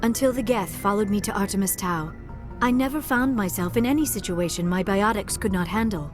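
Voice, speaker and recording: A woman's voice: mid-range voice, fairly quick, lightly acted. An actress playing a shy, earnest young female alien archaeologist in a video game, with formal, precise diction and a socially awkward manner. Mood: reflective, modest. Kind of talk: video game dialogue acting. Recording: music behind the dialogue.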